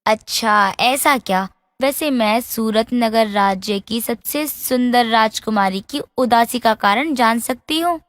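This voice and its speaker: High-voiced, caring young royal companion. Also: female voice, slow pace. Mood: curious, concerned. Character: caring, encouraging young female companion of a princess. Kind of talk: animated fairy-tale voice acting. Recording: long hall-like reverb.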